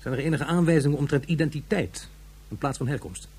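An actor in a Dutch radio drama playing a fussy subordinate official, formal and indignant, with a husky voice. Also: male voice, a little high, fast talker, darkish tone. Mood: skeptical.

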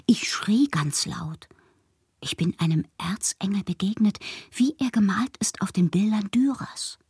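Whimsical lonely woman poet, radio play, breathy husky voice, intimate, slipping into whispers, dry studio sound. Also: medium pitch, lively intonation, unhurried, a little mellow, dry recording. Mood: hushed awe. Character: lonely, whimsical bohemian woman writer, sharing letters. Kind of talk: literary radio monologue.

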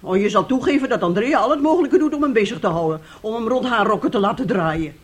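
A woman's voice: a little high, expressive, fast talker, breathy edge. An actress playing a weary, scheming middle-aged wife and mother in a radio drama, with a wheedling way of talking. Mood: coaxing, nervous.

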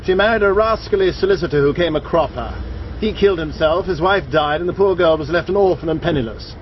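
An actor playing an easygoing, drily jocular English country squire with an upper-class accent in a radio drama. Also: male voice, fairly high pitch, fast, lightly acted. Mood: breezy. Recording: dull recording with faint background noise.

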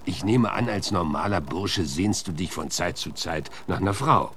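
A man's voice: low-pitched, animated, normal speed, a bit bright, a little hiss or hum. A man playing a blunt, suspicious American marshal in a German radio drama, firing curt, coarse questions. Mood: probing. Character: gruff, blunt, suspicious male US marshal interrogating suspects.

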